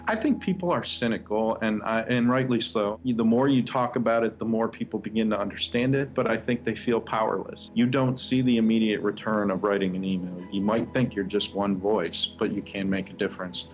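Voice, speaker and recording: A man's voice: fairly low pitch, a little fast, hardly any pauses. A man giving conversational answers as an activist interview guest. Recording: dull lo-fi sound. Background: faint music.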